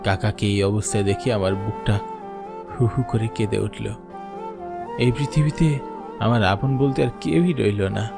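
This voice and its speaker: A man's voice: lowish voice, unhurried, gravelly, long pauses. A man playing a frightened schoolteacher who tells his ghost story in the first person, with dramatic delivery, for a horror cartoon. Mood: somber. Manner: dramatic, fearful, pleading when in dialogue. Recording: music bed under the voice. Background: music.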